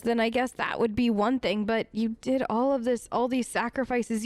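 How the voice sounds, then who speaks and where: A woman's voice: fairly high pitch, no room sound. A woman doing casual role-play commentary for a video game, wry and conversational, on a close microphone. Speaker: let's play host.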